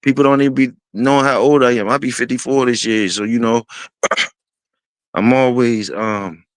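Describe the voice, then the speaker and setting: A man in his fifties hosting a casual talk, speaking in a laid-back, rambling way with many fillers and restarts, often clearing his throat. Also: medium pitch, steady tone, moderate pace, dry recording. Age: 50s. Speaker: host.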